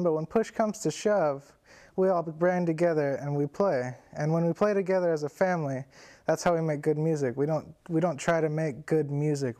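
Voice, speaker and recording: A man's voice: fairly high pitch, dark tone. A young man, a student percussionist, talking casually with easygoing fillers. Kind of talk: casual interview talk.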